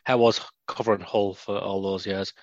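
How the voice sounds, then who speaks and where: A man's voice: fairly low pitch, little pitch movement, normal speed, no echo, dull audio. A man hosting a football podcast in casual chat, talking loosely and laughing often. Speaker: podcast host.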